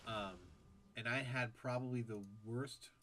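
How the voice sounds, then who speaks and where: A man calling a strategy game by himself in a casual, think-aloud way. His voice is nasal and congested from a recent illness, with a pitch that sits in the middle for a man. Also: steady tone, unhurried, a bit bright, a little hiss or hum. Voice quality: nasal, stuffed-up. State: recovering from a sore throat. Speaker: esports caster. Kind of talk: solo game commentary.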